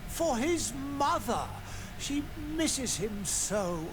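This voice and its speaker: Bitter grieving dad in a video game, formal, kinda high voice, noisy ambience behind. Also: male voice, sing-song, very slow, heavily acted. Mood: bitter resignation. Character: grieving, resentful middle-aged father, a gentleman. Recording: clear background noise from game ambience.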